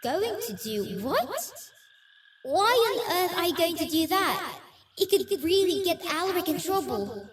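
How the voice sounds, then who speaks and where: A woman's voice: extremely high pitch, big pitch swings, a little slow, exaggerated voice. A woman playing a worried, pleading female ghost in a fantasy audio roleplay. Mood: alarmed. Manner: earnest, pleading. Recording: echoey, distant, with clear background noise.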